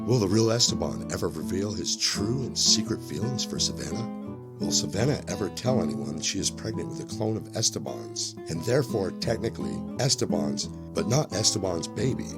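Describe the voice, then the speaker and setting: A man puts on a deep, dramatic soap opera announcer. He is grave and portentous, with big rises and falls in pitch, over loud guitar music. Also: lively intonation, normal speed. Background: loud plucked guitar music.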